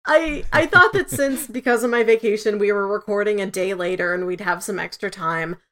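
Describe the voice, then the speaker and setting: Female podcast co-host, medium pitch, informal conversational delivery with lots of filler "like". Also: fairly quick, fairly bright tone. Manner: conversational, frequent "like" asides. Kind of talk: casual review podcast chat.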